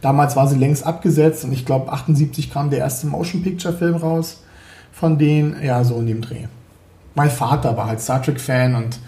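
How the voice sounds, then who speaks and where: A man's voice: mid-range voice, moderate pace, mellow, reverberant. A man in his forties from Berlin, chatting as an interview guest, hesitant with many fillers and restarts. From Berlin, Germany. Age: 40s. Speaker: podcast guest, 3D graphics artist. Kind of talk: casual interview chat.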